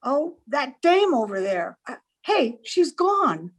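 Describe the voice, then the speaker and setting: A woman acting a brassy, brash dame in an old-time radio comedy. Her voice sits high and her talk is blunt and slangy, like a woman hired to play the wronged wife. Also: sing-song, slow pace, exaggerated voice. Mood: frank, casual. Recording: amateur group video-call recording.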